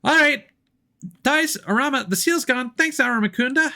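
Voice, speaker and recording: A man voicing a small, excitable, chatty fairy companion from a video game in an eager, exclamatory way. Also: very high-pitched, moderate pace, pitched above the performer's own voice, exaggerated voice. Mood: excited.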